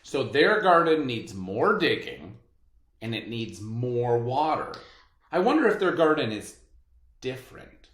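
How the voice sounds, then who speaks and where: An expressive co-reader takes a children's story slowly and with emphasis. The pitch moves up and down a great deal, and chatty comments break into the reading. The room adds noticeable echo. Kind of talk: read-aloud of a children's picture book with side comments.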